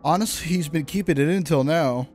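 A male game streamer with a slightly high voice, reacting casually and excitably in slang. Game music plays clearly behind him. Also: brisk.

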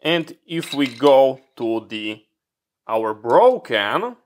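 A man's voice: mid-range voice, sing-song, drawn-out words. A man walking through a hands-on electronics repair at his workbench, explaining step by step with slightly non-native phrasing.

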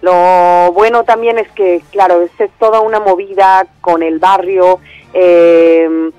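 A woman's voice: fairly low pitch, fairly even intonation, slow pace, mellow, smooth, no room sound, soft background music. A woman from Andalusia with a Mexican-tinged Spanish accent, directing a theatre company and speaking enthusiastically over the phone with many fillers and restarts. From Spain, Andalusia. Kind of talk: phone interview. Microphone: telephone. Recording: muffled phone line.

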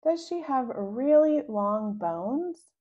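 Woman doing an excitable, pesky little girl. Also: extremely high pitch, muffled recording, voice pushed up, exaggerated voice. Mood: eager. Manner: rapid-fire eager questioning.

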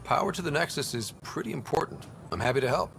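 Young male explorer lead, lively delivery, faint noise underneath. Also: fairly high pitch, quick pace, vocal fry, exaggerated voice. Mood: easygoing. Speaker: pathfinder. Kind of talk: video game dialogue.

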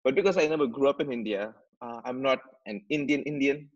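A man's voice: medium pitch, fairly quick. A man in his thirties from Dubai, a podcast guest telling his story earnestly over a video call, often repeating words as he searches for phrasing. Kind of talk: conversational storytelling. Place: home room with plants and shelves. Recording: slightly muffled video call audio.

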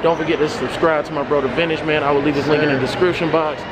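A man in his thirties vlogging casually in a shopping mall with a handheld camera, talking in relaxed slang. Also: a little high, fast talker, low-quality recording. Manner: laid-back slang, says man often. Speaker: sneaker vlogger. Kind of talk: casual vlog talk. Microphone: handheld camera held at arm's length.

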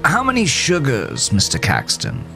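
A man voicing an eerie talking horse gentleman with a genteel, politely eager manner, in a horror story. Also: medium pitch, huge pitch swings, unhurried, vocal fry, faint music behind, voice pushed up, exaggerated voice. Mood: courtly, pleased.

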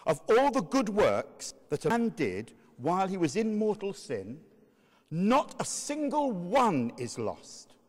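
A man reading a sermon as narrator in a measured, emphatic, oratorical way. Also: on the high side. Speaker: narrator, reader. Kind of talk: dramatic reading of a medieval sermon. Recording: long reverberant hall echo.

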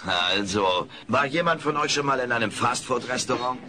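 A man's voice: mid-range voice, lively intonation, moderate pace, lightly acted. A man playing a smug, wisecracking furry alien comedian in a German audio drama. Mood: performing jokes. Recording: noisy old cassette audio drama.

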